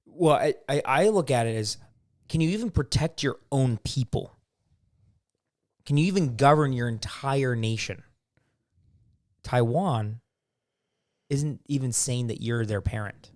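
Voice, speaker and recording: A man's voice: mid-range voice, unhurried, pauses often, a little mellow. A man co-hosting a casual talk podcast, giving firm opinions and explaining with everyday examples. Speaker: podcast co-host. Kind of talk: casual chat.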